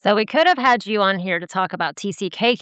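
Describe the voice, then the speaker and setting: A woman's voice: mid-range voice, animated, a bit bright, smooth, no room sound, muffled recording. A woman co-hosting a podcast, upbeat and welcoming in casual interview chat. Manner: upbeat and welcoming, quick to laugh. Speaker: podcast co-host.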